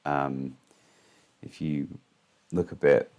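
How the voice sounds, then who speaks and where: Man, hoarse, extremely deep voice, halting casual market talk, frequent ums. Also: fairly even intonation, darkish tone. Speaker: trading channel host.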